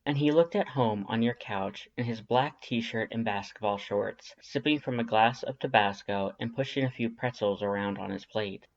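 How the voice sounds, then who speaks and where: A man's voice: on the low side, normal speed, vocal fry, hardly any pauses. A man reading scripted second-person fiction as an audiobook narrator. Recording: slightly dull lo-fi audio.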